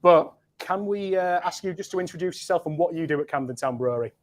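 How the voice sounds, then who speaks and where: Upbeat Yorkshire male host, higher-pitched, chatty, greets viewers by name. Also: expressive, fast. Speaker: livestream host. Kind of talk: casual live stream chat.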